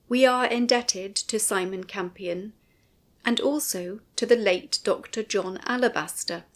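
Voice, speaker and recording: A woman reads a scripted documentary commentary in a measured, formal way. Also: medium pitch. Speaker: narrator and writer of an audio commentary.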